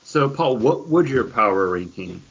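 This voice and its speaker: A man's voice: mid-range voice, big pitch swings, a little slow, slight background noise. A man hosting a podcast, steering the discussion in casual conversation. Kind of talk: casual chat. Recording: dull, lo-fi podcast audio.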